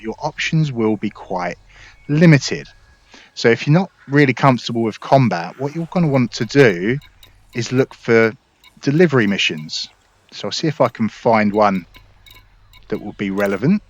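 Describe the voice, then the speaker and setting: A man's voice: lowish voice, no echo, lo-fi sound. A man giving casual gameplay commentary, explaining things informally and directly to his viewers. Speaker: gaming video creator.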